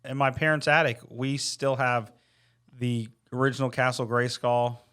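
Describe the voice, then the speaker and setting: A man's voice: mid-range voice, steady tone, normal speed, a bit bright, smooth. A man chatting informally on a podcast, telling rambling anecdotes. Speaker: podcast co-host. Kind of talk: casual chat, anecdotes.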